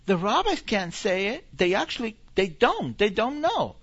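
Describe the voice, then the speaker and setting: A man from Argentina, a visiting preacher teaching informally and taking questions in a halting, animated way that repeats phrases. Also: sits high, slightly rough, lo-fi sound.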